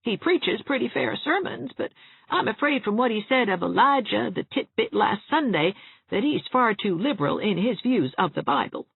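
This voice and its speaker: Lady putting on a high creaky old spinster, all doom and fuss, tinny sound. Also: very animated, normal speed, dry recording, exaggerated voice. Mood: fussy. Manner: mournful, fussy, forever fearing the worst. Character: gloomy fretful elderly spinster woman.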